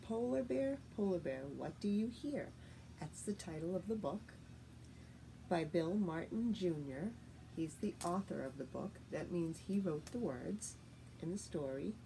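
Middle-aged woman, children's read-aloud, unhurried pace, soft mellow tone, sing-song teacher delivery. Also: fairly low pitch, rough recording. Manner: deliberate, sing-song, expressive reading with clear enunciation. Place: living room at home, indoors. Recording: faint steady noise, home room recording.

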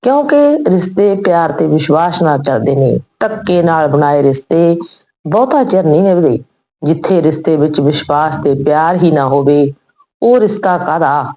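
A woman narrating a scripted moral story in an earnest, measured way. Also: low voice, expressive, warm tone, poor audio.

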